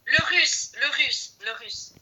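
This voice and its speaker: Bright-toned woman with a slight Portuguese accent. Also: sits high, animated, takes it slowly, poor audio. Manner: chatty, repeats herself, addresses viewers. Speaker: gaming video host. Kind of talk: casual chat while playing a game.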